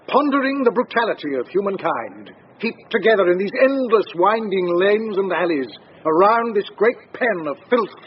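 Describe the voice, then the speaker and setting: An actor playing a dramatic male orator, declaiming in a florid, portentous, theatrical way in a radio drama. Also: high-pitched. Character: dramatic male orator evoking grim old London. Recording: dull, noisy radio drama recording.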